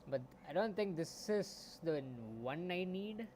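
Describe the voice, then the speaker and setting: Man muttering through code on stream. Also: a little slow. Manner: muttering, trails off into soft asides. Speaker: game dev streamer. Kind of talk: thinking aloud while coding on stream. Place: home room. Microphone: desktop mic. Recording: faint background noise, low home mic.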